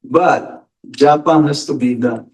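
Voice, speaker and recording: Slow, deliberate male monk. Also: medium pitch, darkish tone, distant mic. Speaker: monk giving a spiritual talk. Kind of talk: question-and-answer spiritual lecture.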